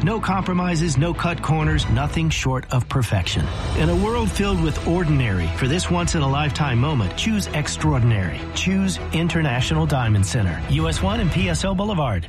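Man, commercial voice-over, measured, persuasive, slightly high, music bed. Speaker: ad announcer.

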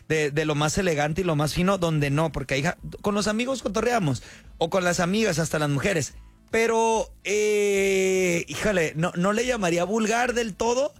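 A high-pitched man with a Mexican accent hosts an evening radio show, talking in a loose, slangy, chatty way. His voice is close and dry in the studio, with faint music underneath. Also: no room sound. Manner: chatty, slangy, quick asides and laughs. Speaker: radio host. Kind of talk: casual radio call-in chat.

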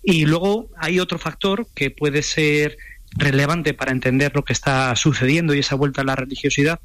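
A man with an accent from Spain speaking as an expert guest in a radio interview, explaining in a measured, academic way. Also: fairly high pitch, steady tone, fairly bright tone, no echo. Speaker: sociologist, university professor, guest expert.

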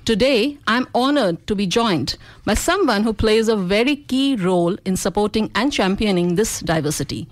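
A woman hosting a radio interview show in a studio, welcoming and chatty, with many uhs and quick yeps. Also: mid-range voice, lively intonation, no room sound. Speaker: radio host.

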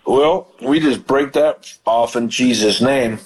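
A man's voice: mid-range voice, slow, husky, poor audio. A man hosting a call-in prayer show as a pastor, praying fervently over callers. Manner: fervent praying, frequent hallelujahs. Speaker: radio ministry host and pastor.

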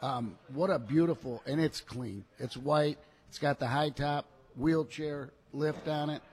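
A man giving an unscripted live sales pitch as a car dealer, folksy and repeating phrases for emphasis. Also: measured pace, slightly rough. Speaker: car dealer, radio show regular.

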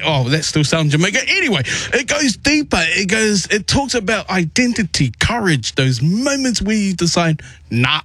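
A man's voice: on the high side, fairly bright tone, dry recording. A man hosting a radio show, interviewing musical guests in an effusive, rambling way.

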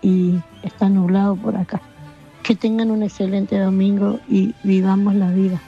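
A woman's voice: medium pitch, vocal fry, lo-fi sound, soft background music. An Argentine woman from Corrientes calling in to a radio show, speaking politely. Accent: Argentine Spanish. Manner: slow, polite, even. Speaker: caller.

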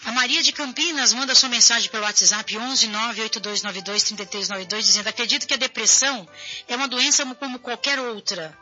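Hoarse, bright-voiced Brazilian woman host. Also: a little high, brisk, highs cut off, soft background music. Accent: Brazilian Portuguese. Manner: chatty, addresses viewers directly. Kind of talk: casual talk show, reading viewer messages.

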